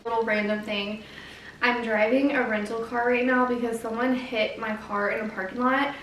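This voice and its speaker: A woman in her thirties talks in a soft, quiet voice with an earnest, gentle manner and a slightly high pitch. She is picked up from a distance in a very echoey room. A faint hiss of noise sits underneath. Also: steady tone, normal speed. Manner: gentle, earnest, chatty with fillers. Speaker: vlogger, mom of three. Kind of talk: casual chat to camera. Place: home.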